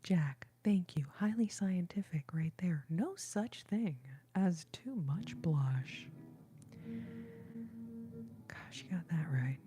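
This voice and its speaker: A woman hosting a livestream, whispering to her viewers and reading their comments in a soft, breathy, affectionate way. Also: lowish voice, expressive, takes it slowly, faint music behind. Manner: gushing, affectionate, calls viewers babes and y'all. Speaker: livestream host reading chat. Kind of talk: whispered ASMR chat with viewers.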